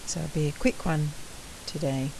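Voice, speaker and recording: Woman, maths teacher, croaky, deep voice, patient explaining, laptop mic at home. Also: steady tone, noisy background. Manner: slow, patient explaining, with frequent clearing of the throat. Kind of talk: recorded maths lesson for students.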